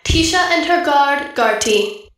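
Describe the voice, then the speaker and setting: A woman's voice: high voice, lively intonation, slow, a bit bright, exaggerated voice. A woman playing a formal herald in an audio drama, proclaiming the arrivals of royalty. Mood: ceremonial. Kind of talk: ceremonial announcement in audio drama. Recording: very echoey hall announcement.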